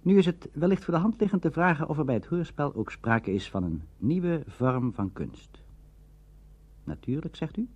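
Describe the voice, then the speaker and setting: A man's voice: on the high side, expressive, normal speed, a little mellow, breathy edge. A man narrating a scripted radio documentary. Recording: dull archival radio recording.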